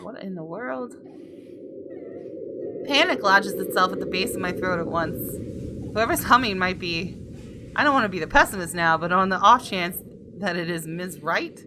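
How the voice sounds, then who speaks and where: A woman's voice: on the low side, normal speed, long pauses, very noisy. A woman game streamer reading visual novel text aloud, dramatically and with playful outbursts. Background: game music with guitar.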